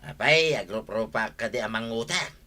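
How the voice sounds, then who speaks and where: A man's voice: mid-range voice, normal speed, bright, forceful tone. An actor playing a sly, boastful married man in a Filipino radio drama in Ilocano, with a cocky, chuckling delivery. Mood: indignant.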